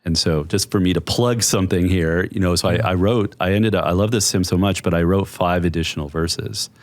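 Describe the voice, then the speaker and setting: Middle-aged male therapist guest, very low bass voice, calm and measured, dry close mic. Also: no room sound. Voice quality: deep bass voice. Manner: soothing, measured, explanatory. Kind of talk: conversational interview.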